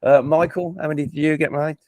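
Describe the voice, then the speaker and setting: A man's voice: on the high side, fairly even intonation, fast, darkish tone, dry recording. Chatty Brummie man hosting a quiz. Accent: Birmingham English. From England. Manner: chatty, self-deprecating, stumbles and restarts. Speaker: quiz host. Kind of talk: casual online quiz hosting.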